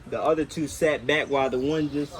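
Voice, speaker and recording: A man chatting casually with friends in a relaxed, matter-of-fact way, outdoors. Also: on the high side, a little fast, a little hiss or hum. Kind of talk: casual chat.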